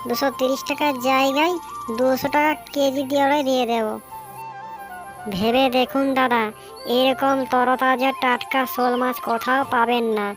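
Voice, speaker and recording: A woman voicing a poor, kindly village mother who sells fish, in an animated moral story. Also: sits high, moderate pace, over music, close to an everyday voice. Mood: eager, pleading.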